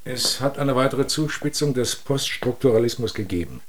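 An actor playing a brusque, earnest secret police superior in a radio drama, speaking like an officious bureaucrat. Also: male voice, mid-range voice, animated, moderate pace, slight background noise, close to an everyday voice.

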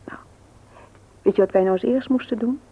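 An actress playing an earnest, gently persuasive married woman in a radio play, often hesitant and soft. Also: a little high, moderate pace, husky, phone-quality sound. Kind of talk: Dutch radio play acting.

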